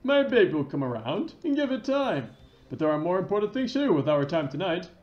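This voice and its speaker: A cocky, devoted special investigations chief, as acted by a man reading a video game. He swaggers along in a highish, very animated voice. The sound is roomy and lo-fi. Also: a little fast. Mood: determined, confident. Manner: swaggering, says "baby" as a tag. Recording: dull lo-fi roomy mic.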